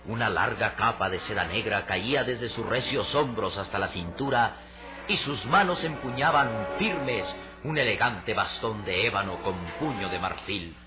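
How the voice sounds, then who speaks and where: A man's voice: on the low side, expressive, normal speed. A man narrating a radio adventure serial in a dramatic, declamatory, suspenseful scripted style. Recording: dull old radio recording with music.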